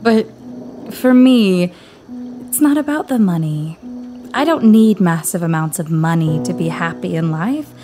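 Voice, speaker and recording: An actress playing a sensible, caring female companion to a scholar in an audio drama, expressive and gently chiding. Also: fairly low pitch, a little slow, over music. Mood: content, earnest.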